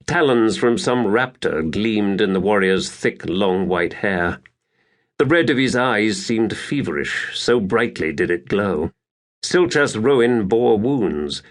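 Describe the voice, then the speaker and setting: Slow, measured male audiobook narrator. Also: on the low side. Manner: measured, theatrical. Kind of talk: dramatic scripted fantasy narration.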